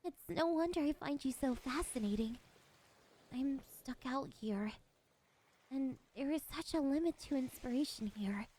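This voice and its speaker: A high, feminine siren voice, acted out by a woman for an ASMR roleplay, with some noise behind it. She is lonely and curious, coaxing the listener wistfully. Beneath the politeness she is possessive and quietly menacing. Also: normal speed, lightly acted. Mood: admiring, fascinated. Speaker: voice actress.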